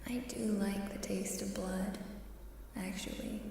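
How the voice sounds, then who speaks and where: A woman livestreaming ASMR in casual chat, soft-spoken and often whispering, with pauses and added reverb on her voice. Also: medium pitch, fairly even intonation, vocal fry. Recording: very reverberant hall-like echo, distant, faint noise.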